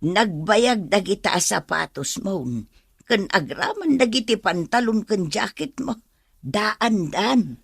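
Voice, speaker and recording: Animated actress as fussing Ilocano mother. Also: mid-range voice, slow, vocal fry, slightly rough, dry recording, studio quality, heavily acted. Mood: fussing, nagging. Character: nagging, caring older mother. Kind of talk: Ilocano radio drama acting.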